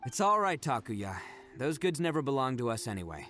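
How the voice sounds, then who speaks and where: A man's voice: mid-range voice, very animated, a little fast, fairly bright tone, faint music behind. A man playing a brash, big-hearted young oni gang boss in a video game, with a swaggering, slangy delivery. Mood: reassuring.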